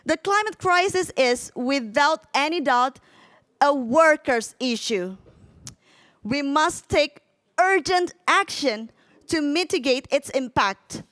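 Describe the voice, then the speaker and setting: A woman's voice: high-pitched, animated, takes it slowly, fairly bright tone. A woman delegate from Indonesia giving a formal, earnest prepared speech to a union congress at a podium microphone. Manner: earnest, rallying delivery. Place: large congress hall.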